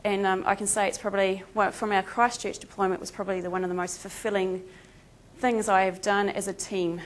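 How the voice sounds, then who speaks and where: A woman's voice: medium pitch, flat delivery, brisk. An Australian woman whose accent has shifted toward New Zealand gives a conference presentation. She talks in a chatty, self-deprecating way and leans on frequent ums between thoughts. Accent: New Zealand-tinged Australian. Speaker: conference speaker, veterinary emergency team member.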